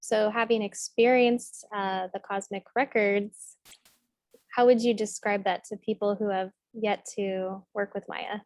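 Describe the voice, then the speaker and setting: A woman's voice: medium pitch, flat delivery, unhurried, darkish tone, no room sound. A woman hosting an interview over a video call, asking questions in an upbeat, encouraging way. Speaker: host.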